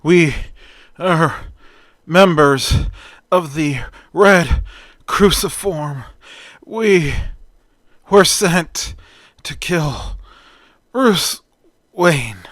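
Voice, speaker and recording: A beaten male thug, played by a man telling a story, strained and halting. His pitch is raised and the words come out in broken pieces, recorded dry. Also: animated, fairly bright tone, no echo, heavily acted. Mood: pained, struggling. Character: beaten male henchman, struggling to speak.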